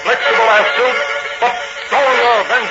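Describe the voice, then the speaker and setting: A man's voice: extremely high pitch, level tone, normal speed, creaky. A man narrating a radio serial as its announcer in a formal, dramatic 1940s style. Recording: muffled vintage 1940 radio recording. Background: music.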